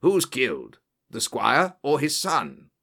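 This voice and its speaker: A man voicing a bluff, genial old-soldier colonel, an English country gentleman, in an audiobook story. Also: medium pitch, huge pitch swings, slow, vocal fry, full character voice. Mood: cool, matter-of-fact.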